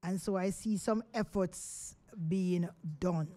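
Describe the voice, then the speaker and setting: A woman's voice: fairly low pitch, fairly even intonation, takes it slowly, warm tone. Chatty Jamaican woman radio host. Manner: chatty, rambling with fillers. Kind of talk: live radio talk show. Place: radio studio. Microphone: studio microphone.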